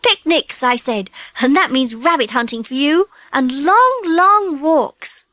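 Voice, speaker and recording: A pert, chatty young schoolgirl in a children's audiobook. Her voice is very high and swoops about with girlish exclamations. It is recorded dry and teases as it goes. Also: animated, slow, sharp tone, no echo, full character voice. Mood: cheerful, excited. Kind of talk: children's audiobook character acting.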